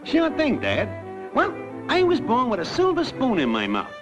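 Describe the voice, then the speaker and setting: A man's voice: very high-pitched, wildly swooping pitch, normal speed, full character voice. An American actor playing a brash young newcomer to the afterlife, breezily telling his life story in slang. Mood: breezy. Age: young adult. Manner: breezy, wisecracking, packed with idioms. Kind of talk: classic cartoon comic narration. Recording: dull lo-fi cartoon soundtrack, loud music.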